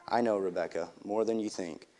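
Man voicing a patient loving father, storybook, calm, tender, low-pitched. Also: fairly quick, lightly acted.

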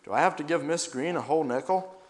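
A sheepish little boy from a children's storybook, hesitant and guilty, tripping over his words, as a man reading puts him on. He speaks a little higher and quieter than the narration. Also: fast, lightly acted. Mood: pleading. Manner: hesitant and shy.

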